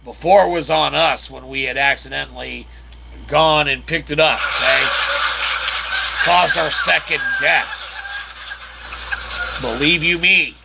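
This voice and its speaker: Gravelly man doing gaming commentary. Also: a little high, sharp tone. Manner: mock-formal, wordy phrasing mixed with slang; refers to himself as "we". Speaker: gaming channel host. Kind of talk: casual video game playthrough commentary. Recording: clearly noisy background.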